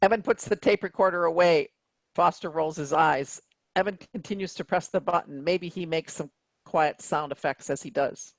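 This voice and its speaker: A man's voice: on the high side, moderate pace, creaky, dry recording, rough recording. A man reading stage directions as scripted narration for a play.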